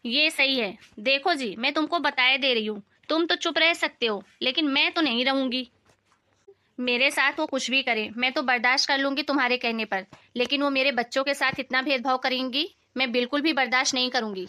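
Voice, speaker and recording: A woman voicing a resentful, outspoken elder daughter-in-law who complains confrontationally in an animated family story. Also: high voice, fairly quick. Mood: angry, indignant.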